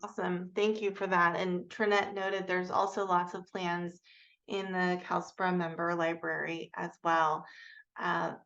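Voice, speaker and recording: A woman's voice: lowish voice, little pitch movement, unhurried, a bit bright. A woman moderating an audience Q&A, reading out questions and thanking speakers, on slightly dull, lo-fi audio. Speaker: session moderator.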